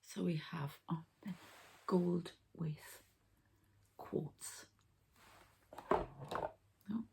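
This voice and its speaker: A woman softly whispering an ASMR healing ritual, breathy and halting, as if thinking aloud. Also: on the low side. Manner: unhurried, halting, thinking aloud while handling objects. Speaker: host, energy healer and card reader.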